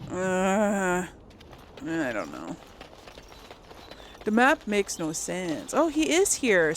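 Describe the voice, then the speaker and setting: A woman's voice: mid-range voice, a little hiss or hum. A woman giving casual video game commentary, thinking aloud as she chats to her audience. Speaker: host of a video game let's play.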